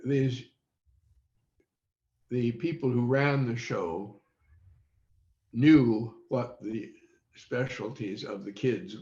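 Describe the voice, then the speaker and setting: An elderly man reminisces unscripted as an interview guest. His delivery is very slow and measured, broken by long pauses. The sound is that of a video call, muffled and noticeably roomy. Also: fairly low pitch. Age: 80s or older. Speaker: interview guest, former radio quiz show child panelist.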